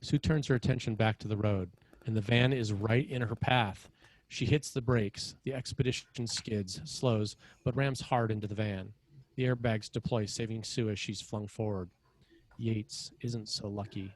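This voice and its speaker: A man's voice: on the low side, steady tone, normal speed, a little mellow, poor audio. A man narrating screenplay scene headings and action in a scripted read.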